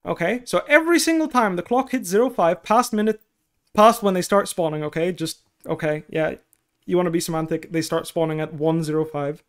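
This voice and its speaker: A man's voice: high voice, fairly quick. A young man whose first language is Dutch, coaching on a casual stream from a headset at his desk, explaining step by step with many okays. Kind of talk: casual stream talk, answering chat. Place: small room indoors at a desk.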